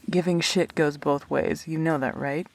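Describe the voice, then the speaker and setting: A woman acting a wry, blunt but protective immortal best friend in an audio drama, with a dry delivery. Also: heavily acted. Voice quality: low, husky.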